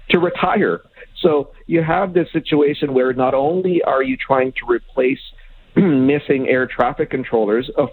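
A man giving expert commentary as an interview guest, explaining in a measured, halting way. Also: mid-range voice. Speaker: aviation consultant, interview guest. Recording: thin phone-like line.

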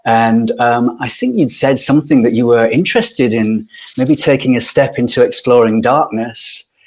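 A middle-aged man from Yorkshire, speaking as a podcast guest and sharing his life story in a thoughtful, unhurried way with many pauses. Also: medium pitch, expressive, a little mellow. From Yorkshire, northern England. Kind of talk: reflective personal storytelling.

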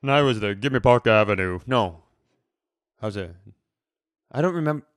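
A middle-aged man in casual comedic chat, with a mid-low voice recorded close and dry. He tells stories loosely, slips into quoted dialogue and swears easily. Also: moderate pace, dry recording. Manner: animated retelling with acted quotes, casual swearing. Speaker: comedy podcast co-host.

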